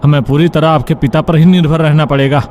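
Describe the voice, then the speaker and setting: A man's voice: on the high side, fairly even intonation, fast, darkish tone, muffled recording, slight background noise, voice pushed up, natural voice. A man voicing an earnest, cautious young groom-to-be in a cartoon folk tale. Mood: worried. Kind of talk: animated folk-tale dialogue.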